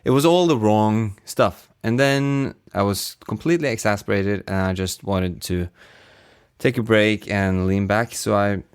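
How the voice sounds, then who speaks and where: A man with a fairly low, clear and resonant voice talks casually about his sound design work. He speaks slowly and reflectively, often pausing to search for the right word. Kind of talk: behind-the-scenes casual chat.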